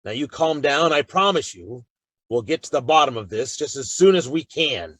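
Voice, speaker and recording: An actor playing a calm, authoritative Old West marshal with an American accent and a measured, firm delivery in a radio drama. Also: male voice, medium pitch. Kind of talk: Western radio drama acting.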